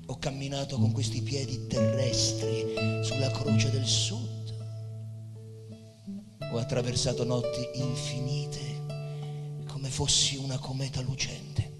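An actor playing a weary, dying middle-aged poet in a theatrical stage monologue, declaiming grandly with long pauses and hushed, sighing trail-offs. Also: male voice, mid-range voice, little pitch movement, close to an everyday voice. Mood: exalted, impassioned. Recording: live theatre hall, long reverb, guitar music. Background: Portuguese guitar music.

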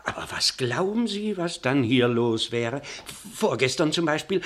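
A man's voice: mid-range voice, expressive, a little slow, natural voice. An actor playing a meek, henpecked husband in a German radio drama, hesitant and stammering as he agrees with everyone. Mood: defensive, apologetic. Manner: hesitant, stammering, repeating himself, placating.

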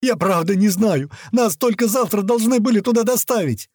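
Man acting a terrified young male captive, high and pleading. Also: moderate pace, darkish tone, no echo. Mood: screaming, pleading. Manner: stammering, frantic. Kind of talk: dramatic audiobook character voice.

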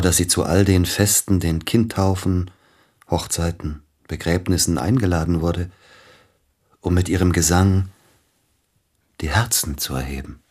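An actor playing a courteous, probing celebrated pianist in a radio play, with a husky voice and a polite, measured delivery. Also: male voice, deep voice. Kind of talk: German radio play acting.

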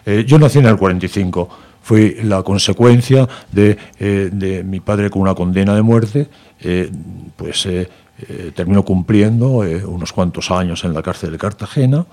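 A man's voice: low-pitched, normal speed, warm tone. A man in his seventies from Madrid, recalling family memories in an interview, hesitating often with fillers and repeated words. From Madrid, Spain. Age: 70s. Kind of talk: conversational testimony.

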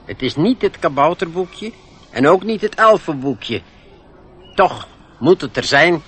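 Radio drama gnome: wary old keeper of secrets, unhurried and forceful, cryptic and self-important. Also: male voice, a little high, sing-song, husky, full character voice. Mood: musing, mysterious.

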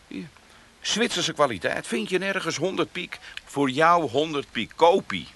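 An actor playing a brash, wheedling hustler with an Amsterdam accent and slangy, pushy delivery in a comic radio play. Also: male voice, on the high side, animated, measured pace, fairly bright tone. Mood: wheedling, sly. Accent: Amsterdam Dutch.

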